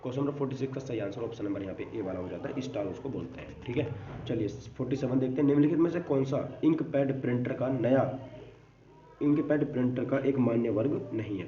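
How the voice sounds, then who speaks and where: A man's voice: mid-range voice, warm tone. A man teaching an informal exam-prep lesson, reading out quiz questions and answers and mixing in English terms. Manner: repeats catchphrases, mixes English terms into explanations. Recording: dull, distant, echoey room with faint noise.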